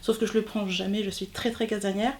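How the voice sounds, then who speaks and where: Woman, forties, gravelly fry, medium pitch, informal, hesitant, casual personal storytelling. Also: flat delivery, fairly quick, darkish tone. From southern Manche, Normandy, France. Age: 40s. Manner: hesitant, searching for words, informal. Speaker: interviewee, textile artist.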